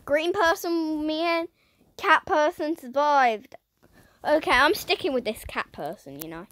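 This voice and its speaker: A woman narrates her video game in a high, croaky voice that leaps all over the place. She talks slowly and dramatically, swinging between near-shouts and hushed asides. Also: expressive. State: croaky, strained voice. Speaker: gaming YouTuber. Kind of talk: playful game commentary.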